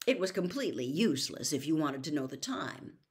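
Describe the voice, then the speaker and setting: A woman reading a story aloud in an expressive storybook narration style. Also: low-pitched, a little fast.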